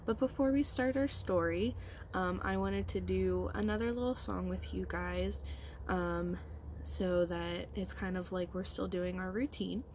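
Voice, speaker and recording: A woman's voice: on the low side, unhurried, a little mellow, slight background noise. A woman teacher leading storytime for young children, explaining things simply and prompting them with questions. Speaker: early-grade teacher.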